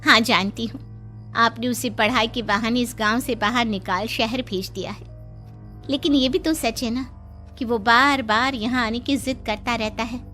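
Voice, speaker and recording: A woman's voice: fairly high pitch, normal speed, sharp tone, soft background music. A woman playing a fearful, fretting village wife and mother in a dramatized story. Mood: worried. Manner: dramatic, fretful. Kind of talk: Hindi audio story acting.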